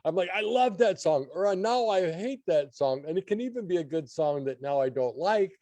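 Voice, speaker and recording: A man's voice: high-pitched, animated, normal speed, dark tone, dry recording. A man giving opinions as a regular guest reviewer in casual, conversational radio chat.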